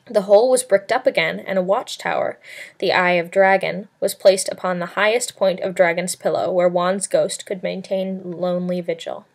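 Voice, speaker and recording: A woman's voice: fairly low pitch, steady tone, moderate pace. A woman narrating a novel as an amateur read-aloud, in a scripted storybook style. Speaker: amateur audiobook reader.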